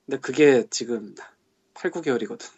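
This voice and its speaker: A man's voice: medium pitch, brisk, a little mellow, husky. A man on a casual podcast, venting in an exasperated, grumbling way and muttering curses. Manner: exasperated, grumbling, swears under breath. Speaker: podcast co-host, game translator. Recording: lo-fi audio.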